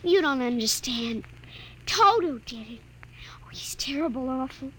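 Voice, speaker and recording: A woman's voice: sits high, expressive, a little slow, a bit bright, slight background noise, poor audio. An actress voicing a frightened, tearful little girl in a 1940s radio drama. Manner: timid, tearful.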